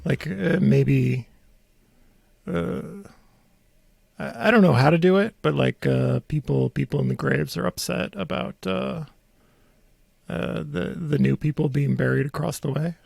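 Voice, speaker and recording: A man hosting a podcast, chatting casually about local politics in a halting way, with thoughts that trail off. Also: fairly high pitch, creaky, darkish tone, no echo. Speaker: podcast host.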